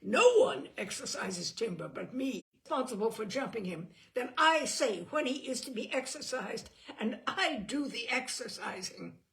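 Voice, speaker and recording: A woman voicing a furious, drunken young man who sneers and shouts at his brother. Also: fairly high pitch, sing-song, measured pace, creaky, pitched above the performer's own voice, full character voice.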